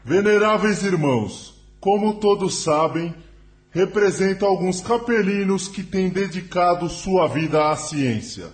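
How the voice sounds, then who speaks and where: A man's voice: high voice, a little slow. A man playing a grave alien scientist who addresses a council with solemn, formal oratory in an audio drama. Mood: grave, concerned. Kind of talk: spiritist audio drama acting.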